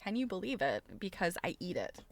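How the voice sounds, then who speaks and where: A woman's voice: fairly low pitch, big pitch swings, a little fast, no echo. A middle-aged woman hosting a casual livestream, chatty and giggly, often breaking into exclamations. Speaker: livestream host.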